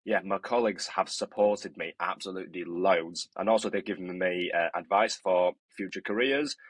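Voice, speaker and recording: A young man talks about his studies in a podcast, earnest and hesitant with frequent restarts. His voice sits low and husky. The recording is dry and close. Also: moderate pace, no room sound. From northeast China. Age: 20s. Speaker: construction student guest. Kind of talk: podcast interview.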